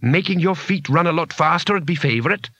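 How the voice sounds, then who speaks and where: A male narrator plays a grim, sharp-tongued woman in a storybook reading. The voice is pushed up high, bright and terse, full of no-nonsense exasperation. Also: lively intonation, measured pace, husky, dry recording, full character voice. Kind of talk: audiobook character voice.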